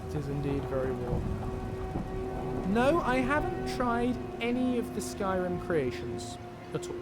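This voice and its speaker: A lively male streamer with a slightly high voice talks casually, wandering through his thoughts out loud. His pitch moves around a lot, and game music plays clearly behind him. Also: unhurried. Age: younger than 50. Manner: rambling, thinking aloud. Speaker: livestreamer, mod creator and voice actor. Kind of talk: casual livestream chat while playing a game. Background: video game music and character dialogue.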